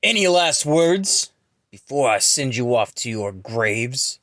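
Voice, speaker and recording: Young male villain, acted by a man: arrogant, theatrical, menacing, lively range, dry sound. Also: a little high, fairly quick, no echo. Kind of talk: audio story character acting.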